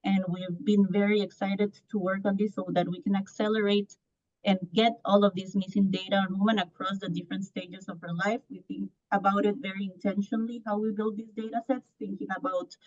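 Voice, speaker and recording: A woman's voice: mid-range voice, darkish tone. A woman from Costa Rica in her thirties, a startup founder answering on a panel in an earnest way with many restarts. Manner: earnest, lots of restarts and fillers. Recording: muffled lo-fi audio.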